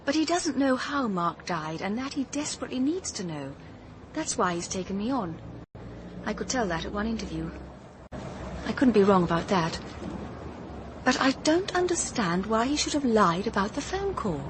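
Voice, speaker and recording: An actress playing an earnest, observant young detective, in radio drama dialogue and first-person narration. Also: female voice, medium pitch, big pitch swings, moderate pace, background noise, lightly acted. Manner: earnest, thoughtful, literate narration.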